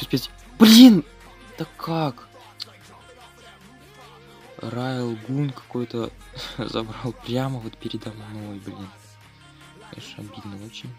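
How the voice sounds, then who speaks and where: A man's voice: medium pitch, darkish tone. A man giving casual, slangy running commentary on his gameplay for viewers, as host of a gaming video.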